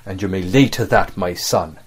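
A man's voice: low voice, slightly rough. A man voicing a sly, scornful, commanding sea cook for an audiobook, in speech with emphatic rustic phrasing. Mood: menacing. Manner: rustic sailor talk, emphatic, drawn-out delivery. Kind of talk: audiobook character acting.

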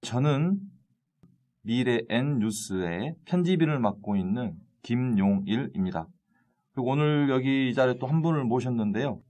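A man's voice: medium pitch, expressive, a little slow, mellow. Joking male podcast host, Jeolla accent. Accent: Jeolla dialect. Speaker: podcast host, news editor. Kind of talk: casual podcast hosting.

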